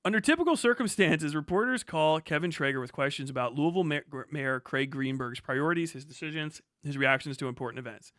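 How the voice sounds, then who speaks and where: A man with a fairly high, very bright and forceful voice, recorded dry and close. He talks like a live streamer going over the news, casual and opinionated, with blunt, emphatic delivery and plenty of swearing. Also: expressive, brisk, few pauses, no room sound. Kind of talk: casual opinionated livestream commentary, reading news aloud.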